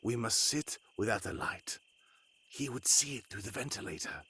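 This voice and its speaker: An actor playing a confident, commanding detective in a comic live radio mystery, with theatrical, self-assured delivery. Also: male voice, medium pitch, animated, moderate pace, pushed and bright, slightly rough, exaggerated voice. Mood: hushed, urgent. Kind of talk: live old-time radio mystery acting, comedic.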